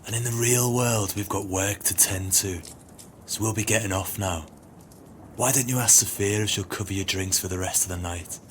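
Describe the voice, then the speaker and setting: A man's voice: lowish voice, normal speed, fairly bright tone, a little hiss or hum, lightly acted. A man playing a bitter, scheming swindler in an audio play, with theatrical, grandiloquent speech. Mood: dismissive. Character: bitter, scheming male swindler, eloquently exasperated.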